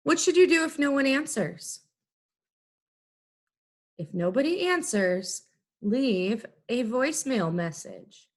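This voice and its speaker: A woman teacher giving an instructional lesson, explaining things in clear, simple, step-by-step phrases. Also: high voice, animated, slow, long pauses. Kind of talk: instructional video lesson for students.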